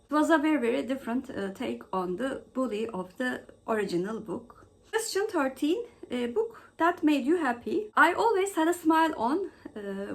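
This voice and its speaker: A woman presenting a book vlog as host, going through questions in a halting way with frequent mid-phrase pauses. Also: high voice. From Turkey.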